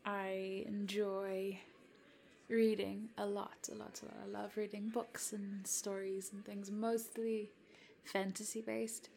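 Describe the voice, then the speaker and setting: A woman in her twenties from South Africa, whose first language is Afrikaans, chats softly to a follower, giggling and hesitating, with whispered asides. Her voice has a gravelly fry, and she takes her time. A faint hiss sits under the recording. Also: medium pitch, level tone, slow. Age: 20s. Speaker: content creator addressing a follower. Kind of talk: roleplay chat to the listener.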